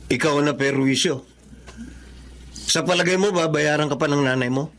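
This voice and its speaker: A man's voice: fairly high pitch, normal speed, a bit bright. An actor playing a weary, caring Filipino father in a radio drama, speaking in an earnest, emotive way. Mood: disappointed. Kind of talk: Tagalog radio drama acting. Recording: noisy, with ambience behind.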